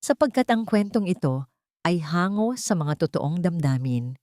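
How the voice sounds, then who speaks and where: Woman, lowish voice, dry sound, scripted story narrator, heartfelt and sentimental. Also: very animated, measured pace, warm tone, no room sound. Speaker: narrator and host of a storytelling channel.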